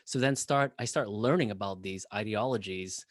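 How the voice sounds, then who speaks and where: Lively low-voiced Canadian man. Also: moderate pace, no echo, studio quality. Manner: animated and expressive, laughs easily. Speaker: panelist. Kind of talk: panel discussion.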